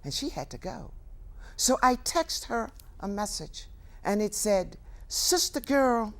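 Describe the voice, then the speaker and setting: An older actress playing a wry, grieving, defiant ageing woman in a theatrical monologue, with deliberate pauses and emphatic delivery. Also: medium pitch, very animated, fairly bright tone, full character voice. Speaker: stage actor performing a monologue.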